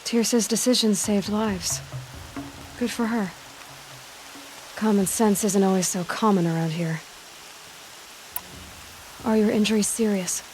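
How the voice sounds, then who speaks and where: A woman's voice: medium pitch, steady tone, a little slow, pauses often, a little mellow, slight background noise, lightly acted, poor audio. An actress playing a brave, caring young woman warrior in a video game, direct and plainspoken. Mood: frank. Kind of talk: naturalistic video game acting.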